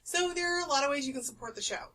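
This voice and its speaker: A woman co-hosting an art podcast in casual chat, loud and carrying, chatty, swearing freely and laughing often. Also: sits high, very fast, distant mic, slight background noise. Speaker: podcast co-host. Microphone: desk podcast microphone.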